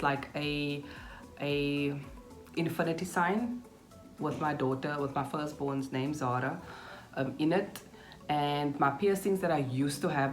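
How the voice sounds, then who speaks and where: Low-voiced South African woman, chatty vlogger. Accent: South African, Cape Town. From Cape Town, South Africa. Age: 30s. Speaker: YouTube vlogger. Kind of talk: casual chat to camera, question-and-answer. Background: background music.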